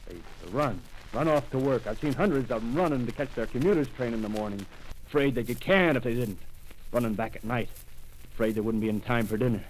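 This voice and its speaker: An actor playing a rough, power-hungry soldier in a 1930s radio drama, talking in coarse streetwise slang and pressing his point. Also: mid-range voice, natural voice, low-quality recording.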